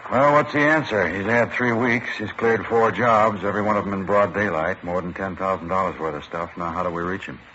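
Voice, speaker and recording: An actor playing a brisk, demanding police captain in an old radio drama, terse and questioning. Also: male voice, deep voice, natural voice. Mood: no-nonsense. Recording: muffled old radio recording, slight hiss.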